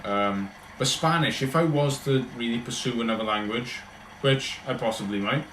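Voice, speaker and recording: A man's voice: medium pitch, moderate pace, fairly bright tone, echoey room, a little hiss or hum. A man with a Welsh accent, chatting casually and rambling on a livestream as he answers his viewers. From Wales. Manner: rambling, chatty, talks in loose run-on thoughts.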